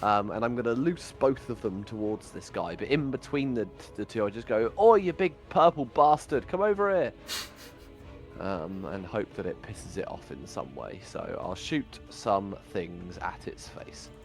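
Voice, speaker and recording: The player at the game table who swears at his own bad luck, a creaky-voiced man swooping dryly through his turn, faint music playing. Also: fairly low pitch, normal speed, few pauses. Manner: sweary, dryly self-deprecating. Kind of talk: casual banter.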